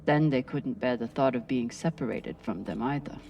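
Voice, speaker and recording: A woman's voice: very deep voice, normal speed, gravelly, dark tone, distant mic, slight background noise. An actress playing a tough female ship captain in a video game, speaking in a terse, blunt way. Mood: grim.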